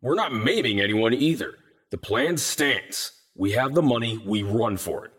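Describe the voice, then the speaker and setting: A woman voicing a menacing, angry young man who commands in an unearthly, hissing low growl. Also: animated, moderate pace, slightly rough, heavily acted. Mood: grim, commanding. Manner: menacing, forceful.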